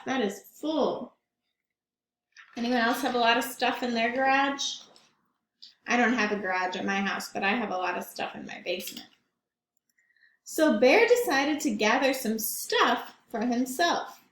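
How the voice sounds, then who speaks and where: A woman teacher reads a picture book aloud to young children in a gentle, questioning way. Her voice is high and she takes her time. The room sounds echoey. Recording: roomy echo.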